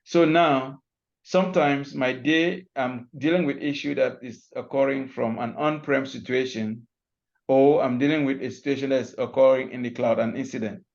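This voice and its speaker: A man's voice: medium pitch, fairly even intonation, moderate pace, gravelly. A man from Cameroon, a cloud security expert, explaining his workday in a loose, step-by-step way with frequent restarts on a video call. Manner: loose, restarting, walks through examples step by step. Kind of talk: online panel talk, explaining his job. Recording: muffled call audio.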